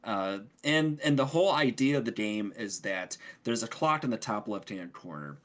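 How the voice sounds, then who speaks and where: A man with a fairly low voice talks casually as a podcast co-host. He explains things in a halting way, often doubling back on his words. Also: animated, a bit bright. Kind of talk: casual gaming podcast chat.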